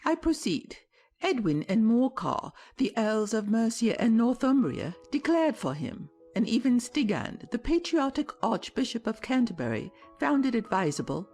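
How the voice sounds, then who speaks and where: A woman's voice: on the high side, big pitch swings, moderate pace, darkish tone, faint music behind. A woman playing a self-important, pedantic mouse in a dramatized storybook, polite but easily nettled. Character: prim, self-important mouse lecturing with great authority. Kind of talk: dramatized storybook audiobook acting.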